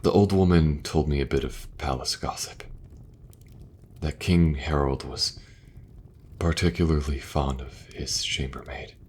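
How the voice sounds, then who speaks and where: A man acting as a benevolent king and brother in a fantasy audio roleplay, speaking in an earnest, measured way. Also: rumbling low, darkish tone, breathy edge, full character voice. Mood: grave, earnest.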